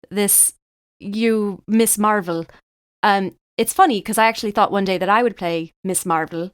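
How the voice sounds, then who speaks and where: Woman playing a clear-voiced chatty Dublin woman. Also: mid-range voice, no echo, clean studio sound, close to an everyday voice. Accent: Dublin Irish. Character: chatty Irish woman from Dublin's south side, proudly local. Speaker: guest. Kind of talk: improvised comedy podcast interview.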